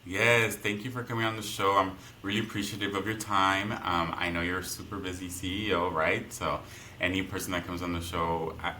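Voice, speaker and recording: A man's voice: sits low, flat delivery, brisk, bright, forceful tone, slight background noise. A man hosting a business podcast, chatty and friendly, often tagging questions with "right?"; Spanish was his first language. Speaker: podcast host. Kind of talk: conversational interview.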